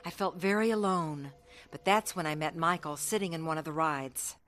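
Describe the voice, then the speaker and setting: A woman's voice: low-pitched, normal speed, fairly bright tone, a little hiss or hum. An actress playing a young woman carnival worker in a radio drama, telling her hard life in an earnest, dramatic way. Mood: reflective, earnest. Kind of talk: radio drama narration and dialogue.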